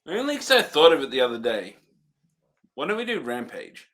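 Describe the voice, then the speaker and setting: A man co-hosting a relaxed podcast chat. He answers slowly and hesitantly and laughs easily along the way. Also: a little high. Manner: laid-back, hesitant, laughs often. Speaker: podcast co-host. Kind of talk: casual chat.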